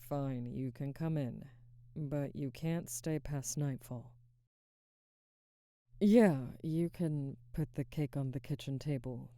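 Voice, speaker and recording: A young woman playing a guarded, burdened werewolf pack alpha in a soft, hesitant, intimate ASMR roleplay close to the microphone. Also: rumbling low, dry recording. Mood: guarded, reluctant. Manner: hesitant, soft-spoken, halting, breathy sighs. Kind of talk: ASMR audio roleplay, intimate scripted monologue. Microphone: close microphone, dry.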